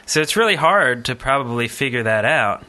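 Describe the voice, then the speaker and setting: A man's voice: medium pitch, lively intonation, moderate pace, pushed and bright. A man co-hosting a podcast, talking casually and informally, thinking aloud as he goes. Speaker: podcast co-host. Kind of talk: casual gaming podcast chat.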